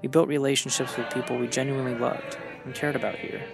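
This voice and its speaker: Male vlogger, creaky mid-pitched voice, earnest scripted voice-over narration of a personal journey, music underneath. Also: little pitch movement, fast talker. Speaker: vlogger narrating own travel story.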